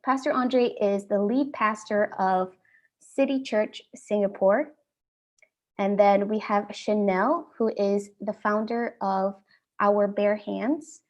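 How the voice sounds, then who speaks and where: Indian-accented woman hosting a panel. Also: mid-range voice. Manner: friendly, measured, with frequent ums. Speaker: host. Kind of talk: hosting an online panel talk.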